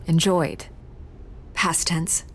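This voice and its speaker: An actress playing a persistent, smooth-talking reporter in a video game, composed and persuasive. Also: female voice, deep voice, steady tone, extremely slow, a bit bright, heavily acted. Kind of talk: dramatic game dialogue.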